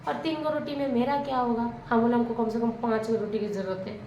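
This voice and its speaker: A young woman, hoarse from a sore throat, chatting candidly in a village vlog while she cooks. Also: a little high, fairly even intonation, brisk, dark tone, reverberant, muffled recording, slight background noise. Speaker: vlogger. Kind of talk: casual vlog narration while cooking. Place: village home kitchen.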